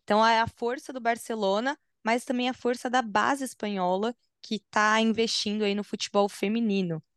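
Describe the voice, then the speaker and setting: Brazilian woman on a sports podcast, fairly high voice, eager and playful, dry close sound. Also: fairly even intonation, smooth, no room sound. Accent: Brazilian Portuguese. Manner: eager, playful, quick to add a point. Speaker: podcast co-host. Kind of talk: casual sports chat.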